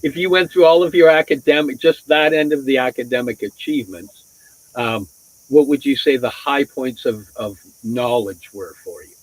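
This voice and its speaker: A man's voice: medium pitch, moderate pace, dry recording, low-quality recording. A man hosting a casual interview over a video call, asking curious questions in a loose manner that includes casual swearing. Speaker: host.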